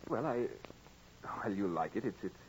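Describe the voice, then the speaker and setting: A man's voice: lowish voice, expressive, normal speed, slightly rough. An actor in a 1940s-style radio drama playing a nervous, evasive gambler courting a young woman, hesitant and stammering. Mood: flustered. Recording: noisy old radio recording.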